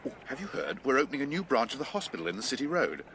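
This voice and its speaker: An actor playing a breezy Victorian gentleman friend in a radio drama, with a jovial, blustering English manner. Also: mid-range voice, very animated, racing, a little hiss or hum. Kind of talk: period radio drama acting.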